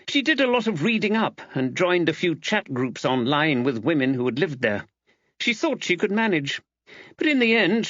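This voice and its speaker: A man's voice: a little high, a bit bright, no echo, voice pushed up. A man voicing a chatty, sympathetic café woman who gossips in an emphatic, explanatory way in an audiobook, on slightly dull audio.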